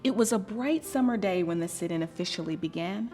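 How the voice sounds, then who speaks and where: A woman reading a children's story aloud in an expressive, scripted style, with deliberate dramatic emphasis and pauses. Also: medium pitch, soft background music.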